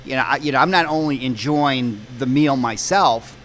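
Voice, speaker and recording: A man with a medium-pitched voice interviews casually on location. He speaks haltingly, often doubling back on his words, with faint noise behind him in a restaurant. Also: a bit bright. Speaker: interviewer, show host. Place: inside a pizza restaurant.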